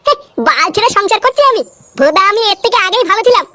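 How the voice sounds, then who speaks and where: A performer with a pitched-up voice playing a foul-mouthed, aggrieved, short-tempered village lad in a Bengali comedy cartoon. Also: lively intonation, racing, very noisy. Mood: aggrieved grumbling. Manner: ranting, swearing, aggrieved.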